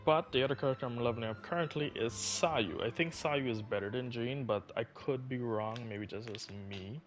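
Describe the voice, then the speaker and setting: A man's voice: mid-range voice, moderate pace. A man giving casual live commentary as a game streamer. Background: game music behind the voice.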